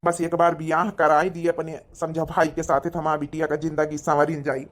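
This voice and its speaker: A woman voicing a mild, doting old village father with an Awadhi accent and a gentle, plaintive manner in a cartoon. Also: sits low, little pitch movement, fairly quick, lightly acted. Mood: grateful, hopeful. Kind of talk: Hindi cartoon comedy acting.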